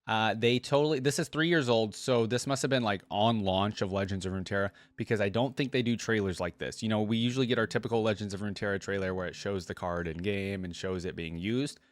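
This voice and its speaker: A man's voice: lowish voice, steady tone, quick pace, few pauses, dry recording. A man giving casual, slangy gaming reaction commentary, thinking aloud as he goes. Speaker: reaction video host. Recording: music playing behind the voice. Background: game trailer and theme music.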